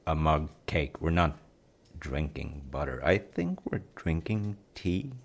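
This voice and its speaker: A man playing a cozy, playful, reassuring dad in an intimate audio roleplay, talking softly and conversationally to the listener with gentle teasing. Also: extremely low pitch, animated, slow, vocal fry, slightly rough, heavily acted. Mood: cozy, musing. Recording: noticeable kitchen noise of sizzling and stirring. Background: frying sizzle and kitchen sounds.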